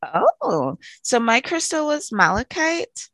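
A woman's voice: a little high, big pitch swings, slow, a little hiss or hum. A woman from Trinidad hosting an online networking meeting, welcoming and introducing guests in an effusive, heartfelt way. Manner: effusive and warm-hearted, calls guests in one by one. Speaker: host.

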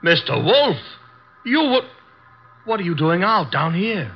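A wisecracking detective sidekick. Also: male voice, sits high, very animated, unhurried, slight background noise, heavily acted. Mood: breezy. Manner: wisecracking, breezy, sarcastic. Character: wisecracking young male assistant detective. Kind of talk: 1950s radio drama acting. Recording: dull old radio recording.

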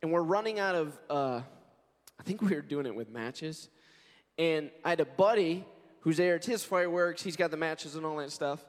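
A man in his forties, a pastor, preaching a sermon in an earnest, conversational way and telling stories, his voice hoarse and scratchy. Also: on the high side, normal speed. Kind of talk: sermon with prayer and scripture reading. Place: church sanctuary. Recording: long reverb of a large church hall.

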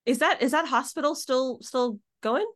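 A woman's voice: high voice, normal speed. A woman hosting a podcast in casual chat, reacting playfully with hums and short exclamations. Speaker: podcast host.

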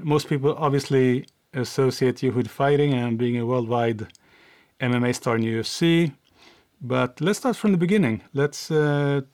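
A man's voice: medium pitch, darkish tone, no echo, studio quality. A man with a Swedish accent hosting a podcast interview in English, friendly and a little hesitant, with many fillers. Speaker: podcast host.

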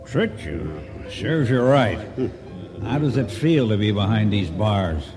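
A man acting a shrewd, suspicious ship's captain in a radio drama, brisk and sardonic. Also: fairly low pitch, big pitch swings, big-room sound, over music.

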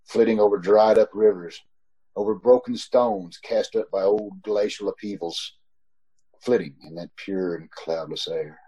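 A man's voice: sits low, warm tone, husky, rough recording. A man playing a desperate, haunted driver, narrating a radio suspense drama in a dramatic, pleading manner. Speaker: actor in an old-time radio troupe. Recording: amateur video call recording.

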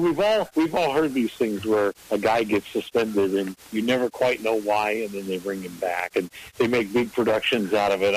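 A man on a radio panel, talking casually in thoughtful, halting phrases. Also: fairly low pitch, rough recording.

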